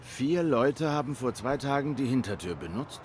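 An actor playing a cool, courteous cat private detective in a noir video game, questioning in a measured, polite way. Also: male voice, mid-range voice, animated, a little hiss or hum, natural voice. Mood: curious.

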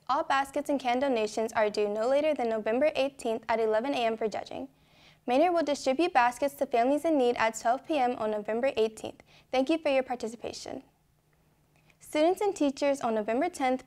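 A teenage girl hosting a school news show, reading announcements in a bright, upbeat anchor style from a studio desk. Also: a little high. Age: teens.

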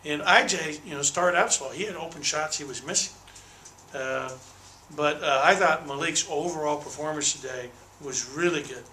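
An older man coaching a college team speaks into a table mic with a bright, forceful tone. His answers are candid and detailed, and he doubles back on words as he restarts. The small press room leaves a roomy echo around him. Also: a little high, moderate pace, a little hiss or hum. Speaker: basketball head coach. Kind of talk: postgame press conference answers. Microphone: table microphone on a desk.

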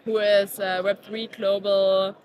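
A woman in her thirties, a startup co-founder, answering interview questions in a halting way, with many fillers and restarts. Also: mid-range voice, flat delivery, darkish tone. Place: busy indoor conference venue. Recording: conference crowd chatter behind.

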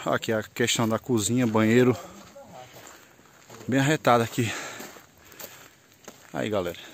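A man's voice: medium pitch, fairly even intonation, a little slow. A man with a northeastern Brazilian, chats his way through a woodland campsite, pointing things out as he walks. He holds the camera himself outdoors, and faint insects and birds hum in the background. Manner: chatty, pointing things out, loose and rambling. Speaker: moto travel vlogger. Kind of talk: casual vlog tour narration. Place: outdoors at a rural campsite by a river and woods.

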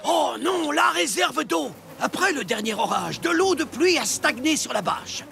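Animated, high-pitched village leader. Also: male voice, unhurried, creaky, heavily acted. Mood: earnest. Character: earnest adult village leader, concerned and authoritative. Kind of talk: French children's cartoon voice acting. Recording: music behind the voice.

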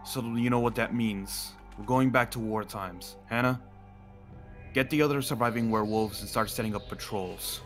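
Man acting, commanding werewolf pack leader, low pitch, serious, decisive, fantasy game roleplay. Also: normal speed, fairly bright tone, faint music behind, lightly acted. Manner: firm, decisive, grave. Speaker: roleplay performer.

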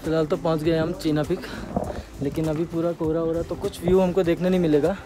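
A man's voice: fairly high pitch, little pitch movement, fast talker, music in the background. A man in his twenties hosting a casual travel vlog outdoors on a misty mountain trail. He talks straight to his viewers and asks his companions questions, with the camera held at arm's length on a selfie stick. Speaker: motovlogger host. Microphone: handheld camera on a selfie stick at arm's length. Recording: loud wind noise on camera mic. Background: loud wind and outdoor noise.

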